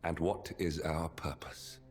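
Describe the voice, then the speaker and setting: A man playing a grim, brooding rebel operative in a video game drama, speaking in a solemn, deliberate way. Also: very deep voice, steady tone. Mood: quietly insistent. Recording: loud music behind the voice.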